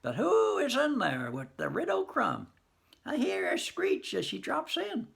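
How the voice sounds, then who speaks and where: A man voicing a grieving, bewildered old farmer in a storybook reading, with a high quavering wail. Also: huge pitch swings, a little slow, gravelly, fairly bright tone, heavily acted.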